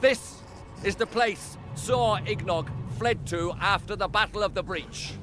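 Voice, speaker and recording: A man's voice: high-pitched, measured pace. A man playing a resolute military leader in a fantasy video game, commanding and declamatory. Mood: rousing. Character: commanding, resolute male war leader addressing soldiers. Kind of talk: dramatic video game acting. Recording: music behind the voice.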